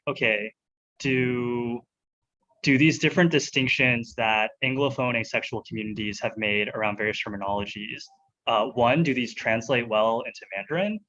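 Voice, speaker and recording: A man's voice: mid-range voice, pushed and bright, slight background noise. A man speaking as a panelist over a video call, hesitant and full of fillers and restarts. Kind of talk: panel discussion. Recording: muffled video call audio.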